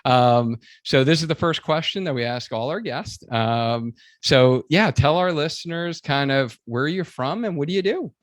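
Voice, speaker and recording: A man hosting a podcast in casual, enthusiastic interview chat, speaking haltingly with lots of "like" and "you know". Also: fairly high pitch, no room sound, studio quality. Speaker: podcast host.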